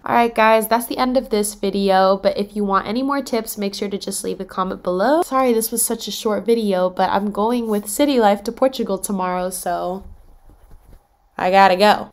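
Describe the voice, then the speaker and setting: Young woman w a stuffy nasal voice, chatty friendly advice, mid pitch. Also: fairly bright tone. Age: young adult. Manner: chatty, friendly, direct tips. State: nasal from a cold. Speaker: study-abroad vlogger. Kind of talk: casual advice vlog.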